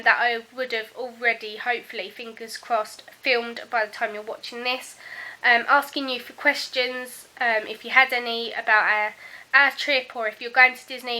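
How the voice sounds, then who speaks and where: A woman, hoarse and croaky from a sore throat, chatting casually to her camera at home with plenty of ums. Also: a little high, bright, forceful tone, rough recording. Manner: chatty, many ums, unhurried. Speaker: family vlogger. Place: at home, indoors.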